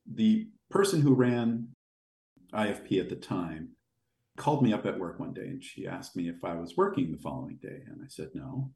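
A man with a deep voice telling long, rambling anecdotes as a podcast guest. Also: normal speed, vocal fry. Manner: rambling, animated anecdotes with fillers. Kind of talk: casual storytelling in an interview. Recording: echoey room.

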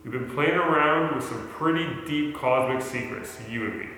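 An actor playing a skeptical, wry researcher in a 1940s radio play, with a theatrical, questioning delivery. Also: male voice, a little high, moderate pace, bright, forceful tone. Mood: concerned, reasoning. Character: Paul, skeptical wry male researcher, teasing friend. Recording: very echoey hall, background noise.

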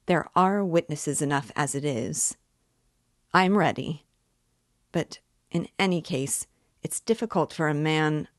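A woman reading an audiobook voices a stiff, pompous man who mutters in a measured, fussy way. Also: low-pitched, no room sound, clean studio sound. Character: stiff pompous middle-aged man, cautious.

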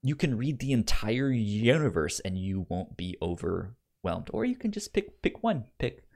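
A man's voice: lowish voice, sing-song, measured pace, gravelly, darkish tone. A man co-hosting a casual pop culture podcast, explaining things and often repeating words as he goes. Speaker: podcast co-host.

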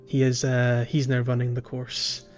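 A man hosts a casual gaming podcast, thinking aloud in a halting, conversational way. His voice sits at an average pitch. The audio is slightly muffled, with music clearly playing behind him. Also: monotone, a little mellow. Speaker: podcast host. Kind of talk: casual video game walkthrough chat.

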